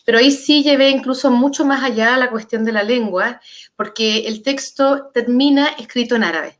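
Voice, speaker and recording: A woman's voice: a little high, moderate pace. A Chilean woman speaking as an author on a panel, thoughtful and searching, building her ideas through paired and repeated words. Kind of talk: reflective panel discussion.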